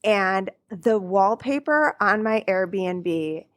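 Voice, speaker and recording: A woman's voice: medium pitch, drawn-out words. A woman co-hosting a podcast, telling a story in casual chat, acting out what people said, recorded in a hotel room on a tiny clip-on mic. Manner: animated storyteller, acts out quotes. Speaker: podcast co-host. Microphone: tiny clip-on mic rubber-banded to a bigger mic.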